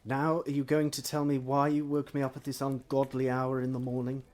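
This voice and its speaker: An actor playing an overworked, secretive Victorian gentleman doctor, weary and guarded, in a stage drama. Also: mid-range voice, completely flat delivery, a little fast, a little hiss or hum, natural voice. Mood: groggy. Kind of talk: period stage drama acting.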